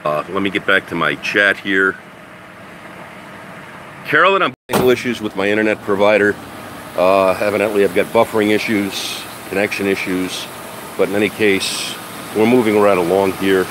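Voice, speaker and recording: An older man, congested from a head cold, hosting a chatty car-maintenance livestream and talking with many pauses and restarts. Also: lowish voice. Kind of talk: casual livestream chat with viewers. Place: home garage or driveway beside a running car. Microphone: phone camera. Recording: loud engine noise behind.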